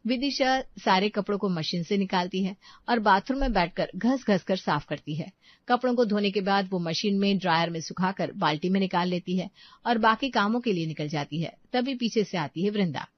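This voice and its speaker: A woman's voice: mid-range voice, moderate pace, dry recording. A woman narrates a domestic story from a script in a mid-range voice. The recording is very dry and slightly muffled.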